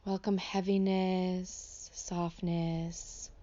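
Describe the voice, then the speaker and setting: A woman teaching a guided meditation in an unscripted, gentle way, offering instructions as tentative invitations. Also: fairly low pitch, level tone, very slow, highs cut off. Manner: gentle, hesitant, invitational phrasing.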